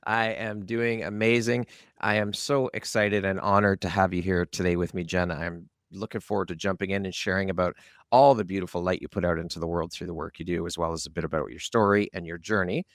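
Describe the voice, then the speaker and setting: A man's voice: deep voice, steady tone, brisk, dry recording, studio quality. A man speaking as an upbeat, encouraging podcast host. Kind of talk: upbeat scripted intros and interview talk.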